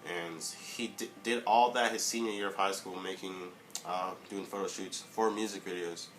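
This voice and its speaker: Young male vlogger, low monotone voice, hesitant and filler-heavy, faint hiss behind. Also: low-quality recording. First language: English. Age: young adult. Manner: halting, many fillers and restarts. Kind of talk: casual motivational monologue.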